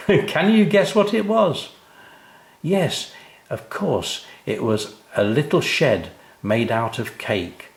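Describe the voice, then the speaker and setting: An older man narrating a children's story, unhurried and expressive, speaking directly to young listeners. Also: mid-range voice, echoey room.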